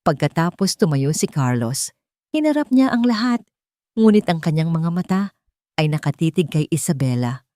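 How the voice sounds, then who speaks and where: A woman with a slightly low voice narrates a scripted romantic drama. The sound is dry and close, and her delivery is that of a storyteller reading prose. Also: very animated, moderate pace, mellow, dry recording.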